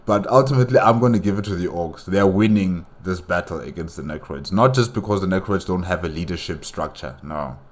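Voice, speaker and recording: A man hosting a reaction video with casual, halting, repetitive commentary, often dropping in "you know". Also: deep voice.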